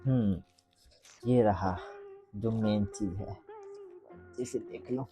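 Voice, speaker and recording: A man from Bihar gives casual, informal vlog commentary, reading English phrases aloud haltingly. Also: fairly low pitch, music in the background. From Bihar, India. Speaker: vlogger.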